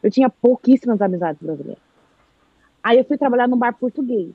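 A woman's voice: a little high, brisk, slightly rough, no echo, phone-quality sound. A woman from Brazil chatting live, animatedly telling a story and acting out what people said. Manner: animated storyteller, acts out quoted speech. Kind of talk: casual live chat.

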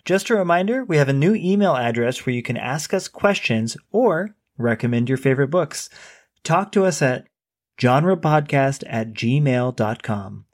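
A man's voice: medium pitch, expressive, moderate pace, no room sound, clean studio sound. A man co-hosting a book podcast, chatting casually with many fillers and restarts. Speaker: podcast co-host.

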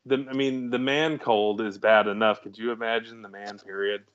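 A man's voice: lowish voice, steady tone, moderate pace, a bit bright, muffled recording. A man co-hosting a podcast, chatting casually about a film, rambling and restarting his sentences and chuckling at his own jokes. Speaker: podcast co-host.

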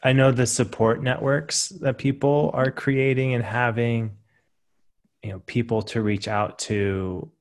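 A man with a gravelly voice hosts a coaching podcast. He fills his questions with many "uh"s and answers his guest with a steady run of affirming "right"s. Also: medium pitch, measured pace. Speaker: podcast host, coach trainer. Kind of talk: podcast interview hosting.

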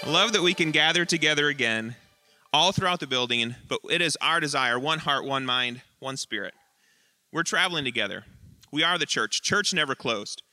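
A man with a high, very bright and forceful voice delivers a sermon in short, earnest, emphatic phrases, pausing between lines. The sound is dry and close, with little room around it. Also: expressive, no room sound, soft background music. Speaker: lead pastor. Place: church sanctuary.

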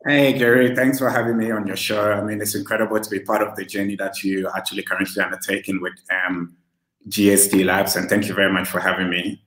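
A man in his thirties from Ghana, a relaxed interview guest who talks with many fillers. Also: lowish voice, fast talker. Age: 30s. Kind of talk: casual interview over a video call. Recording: roomy echo.

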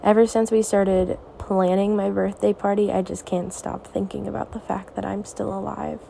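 A teenage girl hosting her own podcast, talking softly and reassuringly in a raspy, tired voice late at night. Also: mid-range voice, level tone, moderate pace, a little hiss or hum. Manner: soft, reassuring, hesitant. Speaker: podcast host. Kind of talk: personal podcast monologue.

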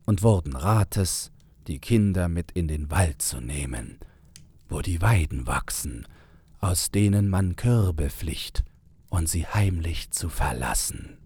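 Really deep raspy guy reading a fairy tale, slow and mellow. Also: lively intonation, no echo. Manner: measured, unhurried storytelling. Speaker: storyteller, narrator. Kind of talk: scripted fairy-tale narration.

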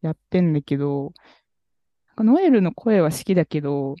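A man co-hosting a podcast, telling a story in a relaxed, rambling way with many fillers. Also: fairly high pitch, a little fast, no echo, lo-fi sound. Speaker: podcast co-host. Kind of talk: casual chat about music.

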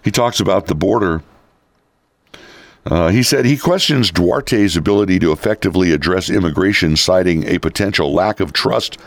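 A male talk radio host with a raspy voice, giving opinionated political commentary. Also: sits low, no echo. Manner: opinionated, reads quotes then rebuts them. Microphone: studio microphone.

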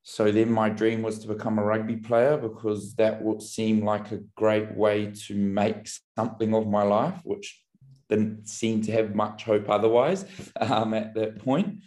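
A man, telling his story as a podcast guest in a candid, reflective way with many pauses and restarts. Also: fairly low pitch, fairly even intonation, a little mellow. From New Zealand. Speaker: podcast guest, brand founder. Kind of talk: casual interview storytelling.

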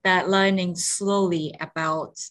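A woman's voice: lowish voice, steady tone, slow, fairly bright tone. A woman with a Japanese accent, a native Japanese speaker, talking as an interview guest in a thoughtful way, with many "you know" and "like" fillers. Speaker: guest, space education director. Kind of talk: casual interview conversation.

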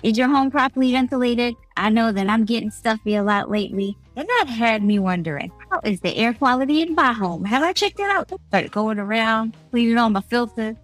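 A woman's voice: fairly high pitch, animated, fairly quick, few pauses, dry recording, soft background music. A woman co-hosting a casual home design podcast, upbeat and chatty. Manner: upbeat, chatty, laughs easily. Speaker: podcast co-host.

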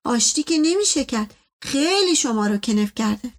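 A woman voicing a placating, folksy male servant in an audiobook story, with colloquial, deferential, drawn-out phrasing. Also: on the high side, lively intonation. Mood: cautious, conciliatory.

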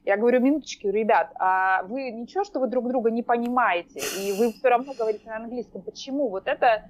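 A woman's voice: on the high side, fast, highs cut off. A woman guest chatting in an interview, talking hesitantly with many fillers and restarts. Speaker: podcast guest. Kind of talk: casual interview chat. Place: lives in Norway.

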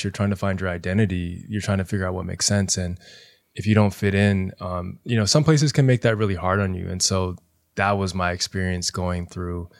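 A young man, a teacher, speaking as a podcast guest in a soft, reflective way, with many fillers like "you know". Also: deep voice, vocal fry, no echo, studio quality. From Los Angeles area, US. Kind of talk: reflective interview talk.

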